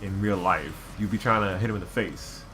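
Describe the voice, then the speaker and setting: Low-voiced man chatting over a game, noisy recording. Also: a little fast, pushed and bright. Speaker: let's play co-commentator. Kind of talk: casual game commentary chat. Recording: noticeable background noise.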